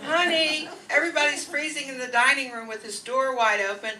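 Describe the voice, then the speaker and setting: A woman's voice: high-pitched, fairly even intonation, brisk, pushed and bright. A woman playing a practical, soothing wife with a coaxing manner in a radio comedy play. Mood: mildly exasperated. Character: practical, soothing wife managing her household. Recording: noisy, distant stage microphone.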